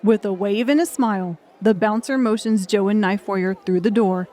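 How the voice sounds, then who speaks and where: Mid-pitched woman narrating an audiobook. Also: moderate pace, dark tone, a faint crowd.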